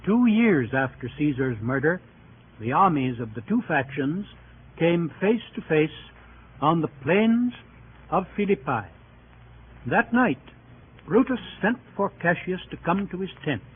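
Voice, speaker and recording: Higher-pitched male narrator, old tinny radio. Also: big pitch swings, a little slow, slight background noise. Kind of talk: scripted narration, old-time radio drama.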